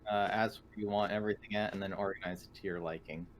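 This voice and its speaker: A man's voice: on the low side, fairly even intonation, fast. A man casually narrating a character's actions as a player in a tabletop game.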